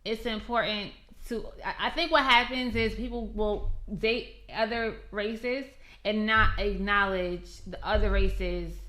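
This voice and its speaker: A woman with a bright, clear tone talks frankly and emphatically in a relaxed group conversation. Russian was her first language. She laughs easily between her points. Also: medium pitch, a little slow. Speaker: podcast co-host. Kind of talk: casual group chat.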